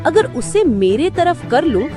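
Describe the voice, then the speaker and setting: A woman as a high, sly scheming vixen. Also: sing-song, measured pace. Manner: sly, manipulative, theatrically dramatic. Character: cunning scheming female fox plotting to rule jungle. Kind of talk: Hindi cartoon voice acting. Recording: background music behind the voice.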